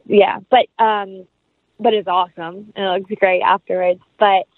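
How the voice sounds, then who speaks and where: A woman's voice: mid-range voice, little pitch movement, normal speed, no echo, phone-quality sound. A woman chatting casually and informally as an actress being interviewed, rambling as she explains. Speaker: interview guest, film actress.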